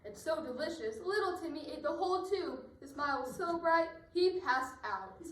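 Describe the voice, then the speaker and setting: A woman's voice: sits high, expressive, normal speed, recorded from a distance. A woman playing an upbeat advert announcer in an amateur parody radio commercial. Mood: salesy, cheery. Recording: echoey room, off the mic.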